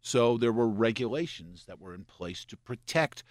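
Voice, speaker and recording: A man in his sixties from Pennsylvania, a radio program director, telling stories in a relaxed, explaining way with a slightly gravelly voice. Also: mid-range voice, normal speed, no room sound, studio quality. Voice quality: gravelly from scotch and cigarettes. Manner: relaxed storyteller, explains with asides and "you know". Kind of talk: casual interview storytelling.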